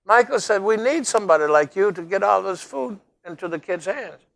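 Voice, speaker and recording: A man's voice: high-pitched, lively intonation, fairly quick, vocal fry, fairly bright tone. An older man hosting a local interview show, talking in a rambling, folksy way and addressing viewers as folks. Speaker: talk show host.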